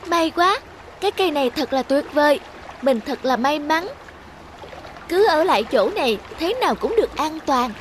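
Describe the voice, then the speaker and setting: A woman voicing a small, anxious cartoon snail, fretful and exclamatory, in an animated fable. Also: high-pitched, moderate pace. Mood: relieved. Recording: music under the voice.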